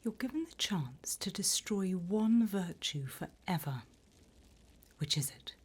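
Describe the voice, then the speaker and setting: A woman voicing a sly, sinister demon interviewer in an ASMR roleplay, in a soft breathy whisper with a teasing, menacingly polite manner. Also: lowish voice, lively intonation, slow pace, heavily acted. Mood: coolly testing.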